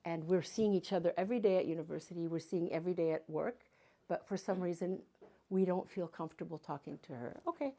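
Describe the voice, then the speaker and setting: Older woman, guest speaker. Lower voice, chatty, tells stories with playful asides. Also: fast, mellow. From Canada. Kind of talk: casual talk to a live audience.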